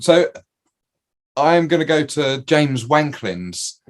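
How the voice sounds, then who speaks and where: A man's voice: on the high side, sing-song, takes it slowly. A man in his forties hosting a casual podcast chat, teasing his guests and swearing easily. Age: 40s. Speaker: podcast host.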